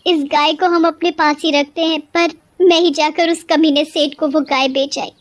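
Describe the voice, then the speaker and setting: A woman's voice: high-pitched, flat delivery, moderate pace, voice pushed up, close to an everyday voice. A woman voicing a timid, remorseful village mother in a cartoon story, pleading fearfully. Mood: frightened, pleading.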